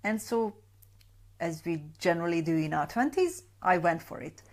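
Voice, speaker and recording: A woman talks to viewers of a live stream as a sleep coach. Her voice sits a little low and has a gravelly, fry-edged texture. She chats loosely, with many fillers, and greets viewers by name. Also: moderate pace, darkish tone. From Hungary. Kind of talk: Facebook Live talk to a group. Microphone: webcam or phone on a live stream.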